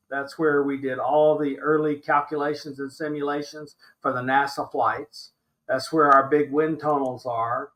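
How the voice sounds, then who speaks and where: An American man in his sixties with a fairly high voice for a man. He talks as an impassioned podcast guest, exasperated and emphatic, often repeating a phrase to drive it home. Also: a bit bright, poor audio. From Alabama, United States. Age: 60s. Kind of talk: impassioned interview commentary.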